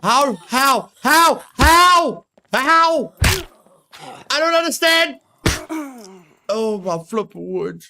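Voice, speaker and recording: A man's voice: very high-pitched, lively intonation, drawn-out words, long pauses, bright, forceful tone. A man streaming a survival game, giving live commentary, repeating his phrases and yelping with mild exclamations when startled.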